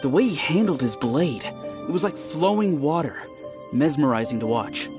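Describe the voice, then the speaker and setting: A man's voice: fairly high pitch, moderate pace, close to an everyday voice. A man playing a chatty gossiping villager. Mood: gossipy. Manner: storytelling, animated. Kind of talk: video game voice acting. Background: game music.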